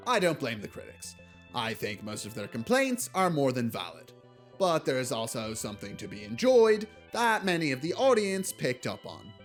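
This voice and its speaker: A man's voice: mid-range voice, big pitch swings, moderate pace. A man delivering scripted video essay commentary in a blunt, casually sardonic way. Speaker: video essayist reviewing films. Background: music.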